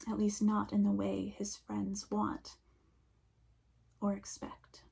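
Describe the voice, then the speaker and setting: A woman minister giving a reflective sermon, speaking slowly and softly, often dropping to a near whisper. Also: mid-range voice, monotone, creaky, husky.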